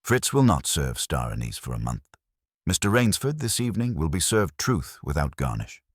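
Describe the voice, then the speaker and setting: Man acting imperious male detective, very low voice, formal, deliberate, terse pronouncements, audiobook mystery, dry sound. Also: sing-song, breathy edge, no room sound, heavily acted. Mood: imperious, assured.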